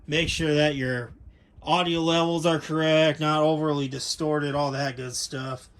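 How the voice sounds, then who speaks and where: Higher-pitched American man, casual and rambling. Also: fairly even intonation, unhurried. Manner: rambling, folksy, conversational. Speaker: vlogger showing vintage audio gear. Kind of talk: casual unscripted product walkthrough.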